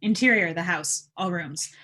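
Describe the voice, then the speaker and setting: A woman's voice: fairly low pitch, normal speed, pushed and bright. A woman narrating stage directions at an informal table read, reading scripted scene descriptions aloud.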